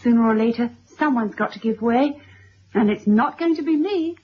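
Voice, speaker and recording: An actress playing a headstrong, stubborn young bride-to-be in a radio drama, brisk and tart. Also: female voice, a little high, lively intonation, slightly rough. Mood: brisk, determined. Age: young adult. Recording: dull old radio recording.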